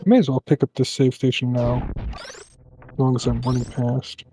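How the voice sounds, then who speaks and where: A man playing a game and thinking aloud, muttering his plans in a halting way. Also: medium pitch, little pitch movement, vocal fry, warm tone, faint music behind. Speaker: speedrunner.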